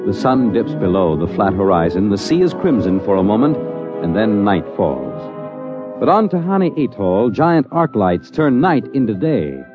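Music plays loudly under a muffled vintage broadcast. An American man narrates dramatically in old radio style, his pitch swinging widely. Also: very animated. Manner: dramatic, measured delivery. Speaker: announcer and narrator. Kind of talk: dramatic 1950s radio announcing and scripted narration.

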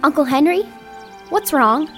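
A woman's voice: sits high, lively intonation, measured pace, music in the background. A woman playing a sweet young farm girl in an audio drama, childlike and earnest. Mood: worried. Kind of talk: full-cast audio drama acting.

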